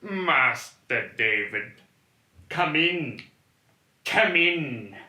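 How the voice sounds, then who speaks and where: Man playing an old servant, formal, deferential, slow, mid-pitched voice, echoey noisy room. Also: animated, pushed and bright, heavily acted. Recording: echoey room with clear noise.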